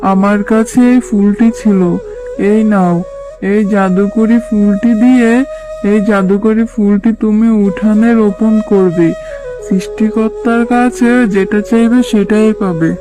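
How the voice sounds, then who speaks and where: A woman voicing a kindly old female fox beggar in a cartoon, gentle, grandmotherly and imploring. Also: medium pitch, steady tone, unhurried, over music, lightly acted, poor audio. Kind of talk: cartoon dubbing.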